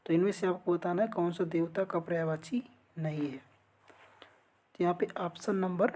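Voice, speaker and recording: Low-pitched woman teaching. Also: normal speed, mellow, slight background noise. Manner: instructional, poses questions then answers them. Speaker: exam-prep teacher. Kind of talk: lesson going through multiple-choice quiz questions.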